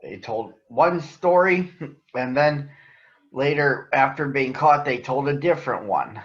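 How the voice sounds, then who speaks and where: An American man addressing children and reading a picture book aloud in a deliberate, announcer-like way. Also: mid-range voice. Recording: echoey room, slightly dull sound.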